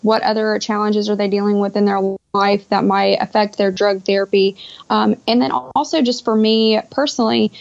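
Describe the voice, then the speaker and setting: A young woman talks as an interview guest in a conversational way. Her voice has a gravelly fry and stays fairly even in pitch. The sound is a dull internet call. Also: moderate pace. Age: young adult. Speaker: podcast guest, pharmacist. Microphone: Skype call.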